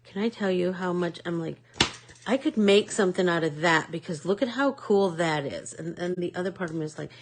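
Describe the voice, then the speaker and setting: A woman's voice: fairly low pitch, brisk, a little hiss or hum. A woman with a Midwest accent hosting a live art class, chatting casually and rambling with lots of fillers. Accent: American Midwest. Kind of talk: casual chat while painting.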